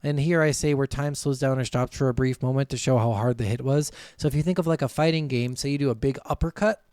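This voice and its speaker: A man's voice: medium pitch, fast talker, darkish tone, dry recording, clean studio sound. A man co-hosting a podcast, chatting informally with plenty of swearing and commenting on his notes as he goes. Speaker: podcast co-host. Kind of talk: casual chat, reading notes and commenting.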